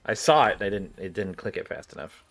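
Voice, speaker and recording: A man's voice: on the low side, animated, a little fast, vocal fry, a bit bright. A man giving casual let's-play commentary as a game streamer, with game music behind him.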